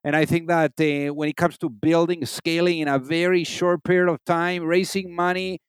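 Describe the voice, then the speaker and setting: High-voiced, smooth-toned man hosting. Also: little pitch movement, moderate pace, no echo. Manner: enthusiastic, warm introductions. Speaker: podcast host. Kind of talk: interview hosting.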